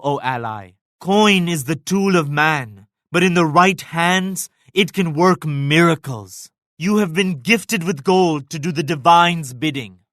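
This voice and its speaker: An actor playing a devout, proud Indian emperor in a strategy game, speaking in a formal, measured, pious declamatory manner. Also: male voice, on the high side, a bit bright, dry recording, studio quality. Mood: pious, benevolent. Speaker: video game leader character. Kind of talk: scripted strategy game voice lines.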